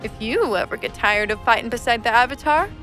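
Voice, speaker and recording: A woman's voice: on the high side, animated, fairly quick, fairly bright tone, faint music behind. A woman voicing a shrewd but kind older female pirate captain, speaking in a wry, confident, teasing way, in a cartoon dub. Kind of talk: fan comic dub acting.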